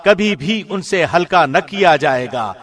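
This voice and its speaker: A man reads a scripture translation formally, with the measured diction of a radio presenter. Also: on the high side, lively intonation, a bit bright. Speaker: translation reader, radio host.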